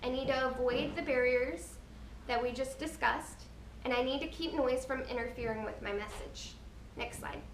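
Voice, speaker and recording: A woman giving a scripted slide presentation, explaining each point in a deliberate, earnest way. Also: high-pitched. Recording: roomy, distant mic with background noise.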